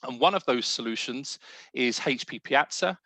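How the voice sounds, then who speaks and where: A man hosting the event, delivering a scripted business pitch. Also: animated, moderate pace, sharp tone, dry recording, low-quality recording. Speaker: host. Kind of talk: prepared presentation.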